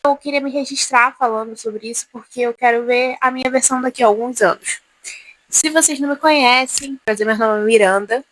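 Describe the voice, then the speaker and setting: A woman's voice: fairly high pitch, a little fast, a bit bright, dry recording, rough recording. Chatty young woman, rambling vlog. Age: 20s. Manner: rambling, self-interrupting, chatty. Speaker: vlogger. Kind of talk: casual confessional vlog.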